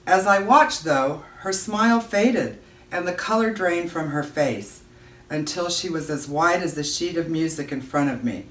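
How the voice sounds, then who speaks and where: A woman giving an expressive read-aloud of a children's novel, narrating as a storyteller. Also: deep voice, a little slow. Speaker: audiobook-style reader. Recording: roomy echo, distant microphone.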